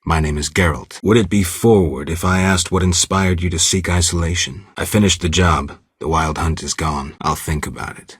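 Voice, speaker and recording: Super deep raspy monster hunter for a video game, gruff, terse, totally deadpan. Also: male voice, no echo, studio quality, full character voice. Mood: quiet, grave. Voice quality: gravelly, husky. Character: stoic, gruff adult male monster hunter. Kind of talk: video game character dialogue.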